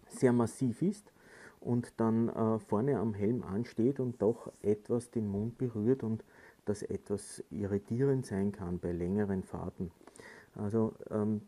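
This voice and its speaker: Casual male tutorial presenter, very dark soft-edged tone, slow and hesitant delivery. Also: lowish voice. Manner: halting, hesitant delivery with frequent filled pauses. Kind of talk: casual hands-on instructional talk.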